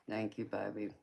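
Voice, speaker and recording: A woman's voice: extremely low pitch, creaky, dark tone, slight background noise. A woman with a Southern US accent, hosting a casual livestream and chatting with viewers, laughing often and calling them baby. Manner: laughs often, answers chat by name, calls viewers "baby". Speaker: tarot reader and livestream host. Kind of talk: casual livestream chat reading viewer comments.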